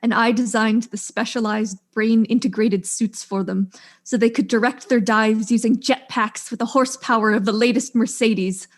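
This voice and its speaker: A woman playing a proud, composed widowed scientist in a mystery drama, speaking in a measured, earnest way. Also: a little high, fairly even intonation, natural voice. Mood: wistful pride. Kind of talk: acted mystery audio drama.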